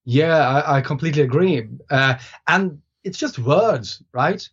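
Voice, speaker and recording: A man's voice: a little high, animated, measured pace, slightly rough. Native Swedish man, podcast guest, hesitant and thoughtful delivery, slightly muffled recording. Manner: thoughtful, hesitant with many restarts and fillers. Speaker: guest author. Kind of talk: casual podcast conversation. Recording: slightly dull lo-fi sound.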